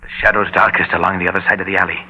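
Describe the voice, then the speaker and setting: A man's voice: low voice, flat delivery, racing, sharp tone, breathy edge, soft background music, exaggerated voice. An actor playing a dogged, resolute policeman in a 1950s radio drama, tense and intent. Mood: wary, muttering. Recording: dull, faintly noisy old AM radio broadcast.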